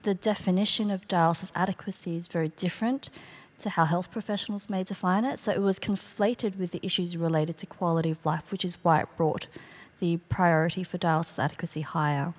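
Female academic panelist, thirties, slightly low pitch, explanatory delivery. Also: fairly even intonation, a little fast. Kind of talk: explaining research findings on a panel. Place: large conference hall stage. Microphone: handheld microphone.

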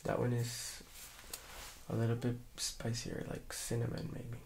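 A soft-spoken man giving quiet, hesitant short answers as the patient in an ASMR role-play. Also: medium pitch, monotone, measured pace, creaky, a little hiss or hum. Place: small room indoors.

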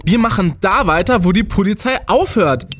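An actor playing a young student detective, an earnest, self-important leader, in an audio drama. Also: male voice, high voice, animated, moderate pace, fairly bright tone, a little hiss or hum. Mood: earnest, resolute. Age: young adult. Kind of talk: German audio drama acting.

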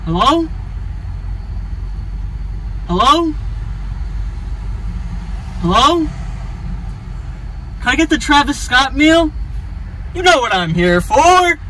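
A man with a high voice plays a casual prank customer. He hesitates and stammers, doubling back on his words. Faint car and outdoor noise sits behind him. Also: sing-song, very slow, pauses often, bright, forceful tone, rough recording. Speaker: prank vlogger. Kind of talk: casual prank ordering at drive-thrus. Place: in a car at drive-thru speakers.

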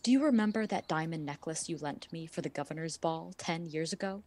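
A woman's voice: low voice, brisk, darkish tone, lightly acted. An actress in a radio play playing a weary, hardened woman who speaks plainly and earnestly. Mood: resolute, confessing. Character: weary, hardened woman worn down by years of debt.

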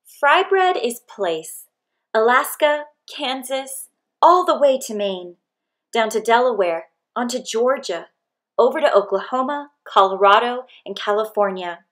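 A woman narrating a picture book aloud expressively, with deliberate phrasing and pauses between short phrases. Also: a little high, animated.

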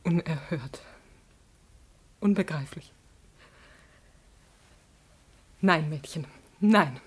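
Husky female voice, proud aristocratic lady, theatrical, sharply emphatic, passionate, 1950s radio drama. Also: sits low, slow, exaggerated voice. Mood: indignant, suspicious. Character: proud, passionate noblewoman, a duke's imperious mistress.

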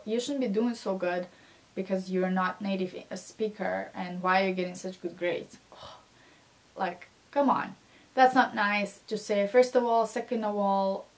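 A woman's voice: medium pitch, low-quality recording. A woman in her twenties, English not her first language, giving encouraging advice in a casual talking-head video from a small room. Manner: animated, gestures with both hands while talking; earnest, encouraging, many fillers. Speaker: vlogger.

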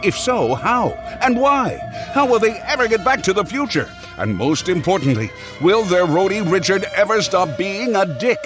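An actor playing a bombastic, theatrical narrator of an old-time radio serial. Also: male voice, sits high, big pitch swings, moderate pace, over music. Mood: breathless suspense. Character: melodramatic male serial announcer teasing cliffhangers. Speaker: narrator and announcer.

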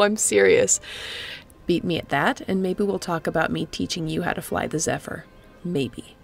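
A woman's voice: fairly low pitch, vocal fry, a little mellow. A woman playing a snarky, affectionate but guarded space captain in an intimate sci-fi audio roleplay, talking in a casual, teasing way.

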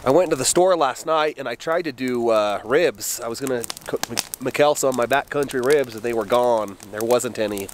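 A man in his forties chats into a camera held close to his face at a mountain campsite. His voice sits in a middle pitch and his talk is loose and rambling, full of fillers. A faint wind noise runs underneath. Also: brisk, hardly any pauses. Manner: relaxed, chatty, rambling with fillers. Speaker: backpacking vlogger. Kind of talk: casual outdoor vlog. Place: outdoors in a windy mountain forest camp.

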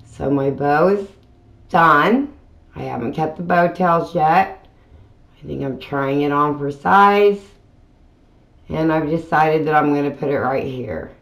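A woman hosting a craft channel, talking through a tutorial in a casual voiceover with plain, unhurried step-by-step explaining. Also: low-pitched, creaky. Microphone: voiceover mic.

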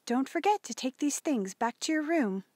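A practical farm mother from a storybook, played by a woman. She speaks in a raised, lilting pitch, gentle and matter-of-fact, with kindly care. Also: lively intonation, moderate pace, no room sound, studio quality. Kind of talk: audiobook character voice.

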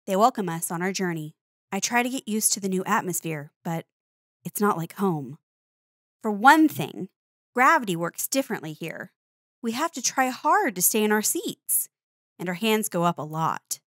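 A woman reading a children's picture book aloud with expressive, playful emphasis. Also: mid-range voice, dry recording, clean studio sound. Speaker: storybook reader.

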